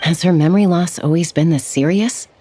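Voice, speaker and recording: A woman voicing a gentle, hospitable young tribeswoman in a video game. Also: on the low side, a little fast, lightly acted. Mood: calm. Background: game soundtrack music.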